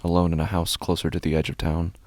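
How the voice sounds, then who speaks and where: A man acting as a bereaved, subdued widower in a horror audio drama, speaking in a halting, understated way. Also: low-pitched, no room sound. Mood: subdued, grieving. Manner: halting, flat, understated delivery. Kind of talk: scripted horror fiction narration.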